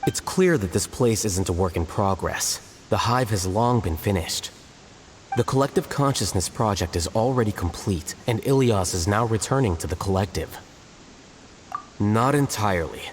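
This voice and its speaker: A cool, detached young scholar played by a man with a low voice, clipped and matter-of-fact in every line. There is a faint clicking noise under his voice. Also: animated, moderate pace, lightly acted. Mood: explaining. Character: calm aloof young male scholar. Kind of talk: video game dialogue.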